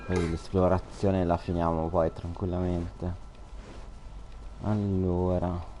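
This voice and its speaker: A man's voice: sits low, flat delivery, slow pace, mellow, soft background music. An Italian man giving casual commentary as he streams a game, muttering short remarks to himself. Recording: clearly noisy stream audio.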